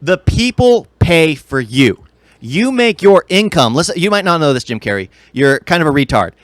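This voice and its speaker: A man's voice: fairly high pitch, very animated, normal speed, no room sound. Blunt man, dry close podcast sound. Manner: blunt, opinionated. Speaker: podcast co-host. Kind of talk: casual pop-culture chat.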